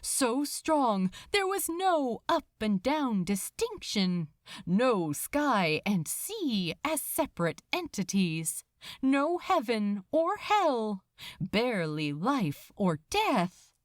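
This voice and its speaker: Female fiction narrator, suspenseful and emphatic, very animated melody, dry close sound. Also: a little high, no echo. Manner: theatrical, suspenseful, deliberate emphasis. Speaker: podfic narrator. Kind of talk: dramatic scripted story narration.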